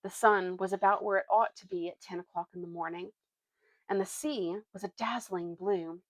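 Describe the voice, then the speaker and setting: A woman reading a children's novel aloud as expressive storytelling narration, now and then correcting a slip. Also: medium pitch, normal speed, no room sound, rough recording.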